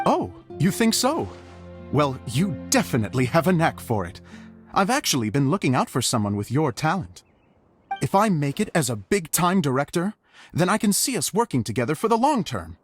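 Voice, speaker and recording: An actor playing an eager, theatrical, self-styled stage director in a video game, effusive and chatty. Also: male voice, a little high, sing-song, normal speed, faint music behind. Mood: enthusiastic. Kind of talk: video game cutscene acting.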